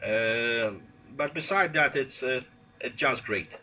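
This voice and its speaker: Male ham operator, Polish accent. Bright tone, halting casual delivery, some noise on a phone recording. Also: medium pitch. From Poland. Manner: halting, hesitant, searching for words. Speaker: amateur radio operator. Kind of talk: casual radio contact chat. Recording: noisy unplanned handheld phone recording.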